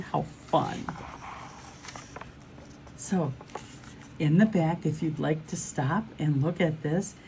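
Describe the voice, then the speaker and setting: A woman's voice: sits low, dark tone, a little hiss or hum, low-quality recording. A woman reading a children's storybook aloud as host, encouraging and turning to her young listeners with questions.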